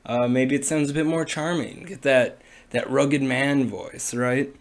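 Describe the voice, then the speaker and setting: A young man with a cold-raspy, hoarse voice, talking casually and rambling with many fillers on a livestream from a small room into a webcam. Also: mid-range voice, measured pace. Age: young adult. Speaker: streamer. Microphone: webcam at desk.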